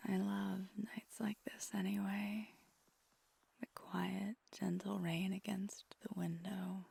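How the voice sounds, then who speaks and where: Woman acting as affectionate vampire girlfriend, hushed breathy whisper, very crackly creak, gentle, intimate ASMR roleplay. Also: mid-range voice, little pitch movement, slow pace, heavily acted. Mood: tender, soothing.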